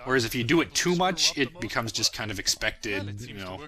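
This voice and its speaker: A young man with a strikingly bright, sharp voice gives an informal commentary on his own work. He rambles reflectively and pokes fun at himself. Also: mid-range voice, animated, normal speed, rough recording. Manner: self-deprecating, rambling, reflective. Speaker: creator of a fan parody series, commentator.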